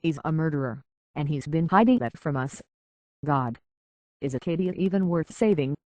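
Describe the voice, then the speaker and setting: An actress playing an earnest, conflicted young woman in a video game, speaking hesitantly and with a soft heart. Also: low voice, lively intonation, normal speed, dry recording, highs cut off. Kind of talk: video game character dialogue.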